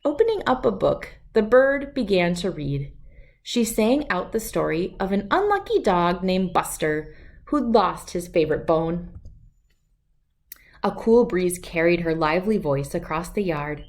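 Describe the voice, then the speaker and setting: A woman reading a children's story aloud in an expressive, deliberate, dramatic narrating style. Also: on the low side. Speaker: storybook reader.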